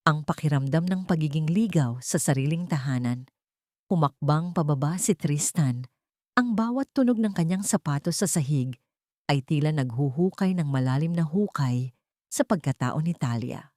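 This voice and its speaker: Woman reading a drama audio story, solemn n emotional, kinda low voice, no room echo. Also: warm tone, studio quality. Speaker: story narrator.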